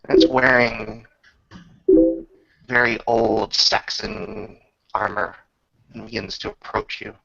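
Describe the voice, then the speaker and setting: A man narrating a tabletop roleplaying game as game master, descriptive and measured, often pausing mid-sentence. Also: on the low side, fairly even intonation, creaky, pushed and bright, no room sound. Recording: dull lo-fi recording.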